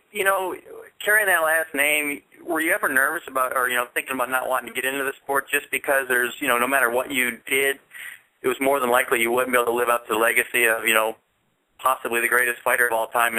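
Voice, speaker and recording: A man's voice: medium pitch, bright, forceful tone, poor audio. A man hosting a conversational sports radio show, interviewing his guests.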